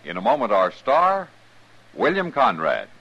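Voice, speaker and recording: A man's voice: lowish voice, wildly swooping pitch, measured pace, gravelly, very noisy. An American man announcing a 1950s radio program and reading its scripted sponsor copy. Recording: dull old radio recording.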